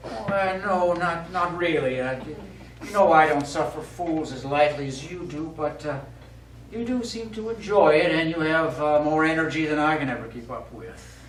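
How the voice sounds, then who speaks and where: A male actor as a dry, weary rich husband, hesitant in his phrasing and a little high in pitch. The voice carries from a distance in an echoey stage space. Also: moderate pace, a bit bright, close to an everyday voice. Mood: wryly indulgent. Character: wry, weary, wealthy husband in poor health. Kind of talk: live stage play acting. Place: small theatre studio, recorded from the audience. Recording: echoey stage recording from afar, faint noise.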